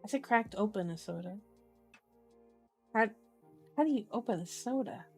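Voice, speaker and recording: A woman's voice: medium pitch, expressive, normal speed, gravelly, darkish tone, husky, faint music behind. A woman streamer doing an ASMR read-aloud of a book, breaking off for casual, playful, silly chat. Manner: playful, silly asides and baby-talk.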